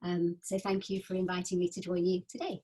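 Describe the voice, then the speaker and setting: Slightly breathy woman from northern England. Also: fairly low pitch, little pitch movement, brisk, dark tone. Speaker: nurse and guest speaker. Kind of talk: casual video call introduction. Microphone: video call.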